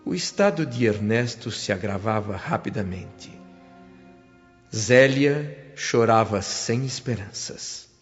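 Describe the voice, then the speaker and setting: A man playing a humble, reflective spirit who narrates a radio drama in earnest, measured phrases with a Brazilian accent. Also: lowish voice, expressive. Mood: solemn, reflective. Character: reflective, humble male spirit recounting his awakening. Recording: dull audio with music behind.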